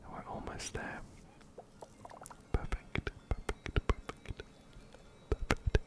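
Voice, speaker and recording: Male ASMR gaming host, close-miked whisper, unhurried and soothing, gently repetitive narration. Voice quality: whispered, breathy, unvoiced. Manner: soothing, repeats words and phrases softly. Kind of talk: ASMR game playthrough, reading dialogue and narrating. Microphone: close-up binaural ear-to-ear mic.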